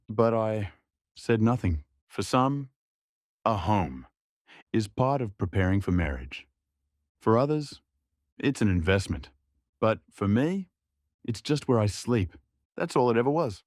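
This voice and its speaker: A man's voice: fairly low pitch, sing-song, measured pace, long pauses, darkish tone, no room sound, clean studio sound. A young man giving scripted audio drama narration as a subdued, reflective inner monologue.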